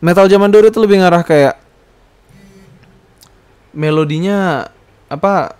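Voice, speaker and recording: A man's voice: high voice, a little slow, darkish tone, clear, resonant tone. A man hosts a casual, rambling solo podcast, hesitantly searching for words and slipping in slang and English. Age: 20s. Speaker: podcast host.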